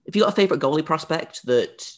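A man hosting a podcast and chatting casually about goaltending, relaxed and conversational. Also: fairly high pitch, fast. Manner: relaxed, conversational, with some filler words. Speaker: podcast host, goalie. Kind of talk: casual hockey chat.

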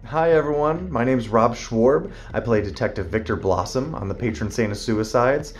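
A man's voice: mid-range voice, normal speed, faint music behind. A man who works as a voice actor, giving a recorded greeting to listeners.

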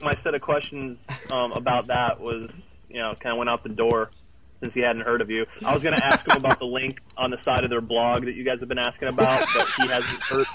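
A man with a mid-pitched voice talks informally as a guest on a podcast. He recounts things in a casual, slightly hesitant way, like someone reporting back to friends. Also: steady tone, a little fast, a loud crowd, poor audio. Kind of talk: casual chat.

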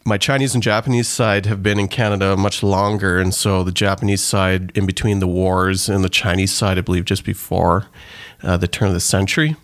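A man's voice: low-pitched, flat delivery, a little fast, dry recording. A man from Trinidad, an author and pastor, speaking earnestly as an interview guest and explaining his ideas at length. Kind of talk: interview conversation.

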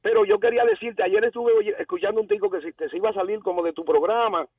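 A man's voice: high voice, expressive, fast, vocal fry, husky, dry recording. A man in his sixties from the Dominican Republic, speaking with a Puerto Rican Spanish accent, calling in to chat in a rambling way with English phrases mixed in. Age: 60s. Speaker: caller. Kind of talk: casual call-in chat. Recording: tinny phone line, distant.

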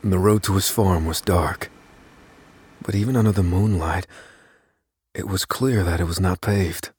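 A man playing an anxious, unassuming narrator who recounts his own mystery in a measured, confessional way for an audio drama. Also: low voice, fairly even intonation, darkish tone, slightly rough, no echo, studio quality. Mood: tense, matter-of-fact. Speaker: narrator of a fiction podcast. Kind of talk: first-person dramatic scripted narration. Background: faint music.

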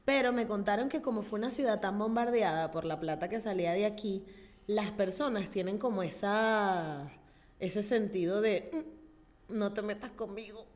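A woman's voice: medium pitch, moderate pace. A woman hosting a casual interview, curious and full of questions for her guest. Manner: curious, asks warm questions. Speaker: podcast host.

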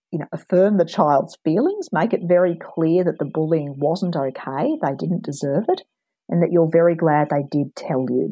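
Woman, low husky voice, dry close sound, steady and explanatory, for an advice interview. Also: dry recording, rough recording. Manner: measured, explanatory, frequent ums. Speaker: anti-bullying expert guest. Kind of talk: calm advisory interview answers.

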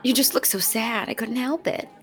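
Audio comedy role: playful young theatre talent, lightly high voice, casual and sincere. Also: female voice, moderate pace, a bit bright, some chatter behind. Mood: sheepish. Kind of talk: comedic audio drama acting.

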